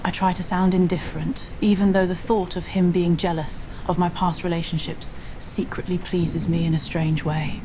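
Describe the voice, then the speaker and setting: A woman's voice: lowish voice, flat delivery, moderate pace, hardly any pauses. A woman narrating a scripted romantic story in the first person. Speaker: audio roleplay narrator. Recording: faint rain noise bed. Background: rain ambience.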